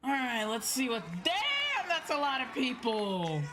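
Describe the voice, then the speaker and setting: A young woman chatting casually on a game livestream, rambling, expressive and informal, swearing freely. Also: sits high, a little slow, noisy background. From outside Japan. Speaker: VTuber game streamer. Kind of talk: casual livestream chat over a video game. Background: game music.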